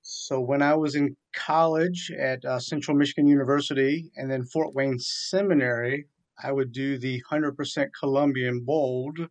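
A man in his forties speaks at a medium pitch as a guest in an interview. He tells his story earnestly and in detail, often circling back to restate a point. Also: steady tone, moderate pace. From southeastern Virginia, USA. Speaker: military chaplain, radio guest.

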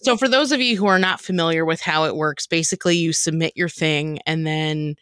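Lower-pitched woman hosting, dry close sound. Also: a little fast, a bit bright, dry recording. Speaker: podcast host. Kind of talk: casual chat, explaining a comedy segment.

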